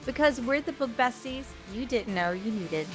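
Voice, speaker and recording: A woman's voice: fairly high pitch, lively intonation, normal speed, music in the background. A woman co-hosting a podcast, chatting casually about books in a playful, informal, gushing way. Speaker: podcast co-host. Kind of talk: casual book review chat.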